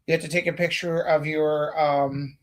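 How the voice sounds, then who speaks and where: Canadian-raised guy rambling thru a story, voice sits a bit high, lots of ums and restarts. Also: flat delivery, moderate pace. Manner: rambling, many ums and restarts, swears casually. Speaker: teacher and stream host. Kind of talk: casual storytelling chat.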